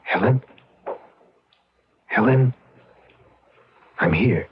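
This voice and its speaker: A man's voice: fairly low pitch, animated, extremely slow, background noise. An actor in an old radio drama playing an ambitious, impatient, slick husband turned rich businessman. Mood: soothing, troubled. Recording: dull lo-fi radio drama recording.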